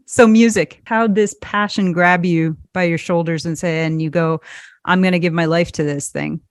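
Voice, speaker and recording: A woman's voice: on the low side, steady tone, moderate pace, no room sound, clean studio sound. A woman hosting an interview, chatting in an enthusiastic way and telling anecdotes about her guest. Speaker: host. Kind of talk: casual interview chat.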